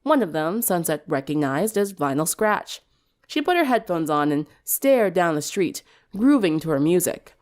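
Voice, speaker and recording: A woman's voice: on the low side, big pitch swings, normal speed, a little mellow. A woman reading fan fiction aloud as dramatic story narration.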